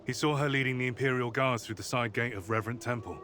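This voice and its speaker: An earnest, determined comrade in a game cutscene, played by a man. He speaks at a middle pitch with serious concern and a protective, wary tone. Music plays clearly behind him. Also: quick pace, natural voice. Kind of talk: dramatic video game cutscene acting.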